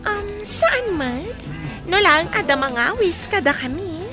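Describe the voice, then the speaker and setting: A woman's voice: very high voice, expressive, unhurried, music in the background. A woman acting a flirty, forward waitress and schemer in a radio comedy drama.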